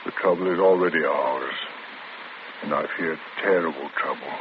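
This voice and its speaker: An actor playing a calm, gentle male prison priest in a 1940s radio drama, speaking in a measured, pleading way. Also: rumbling low. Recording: tinny old radio broadcast with static.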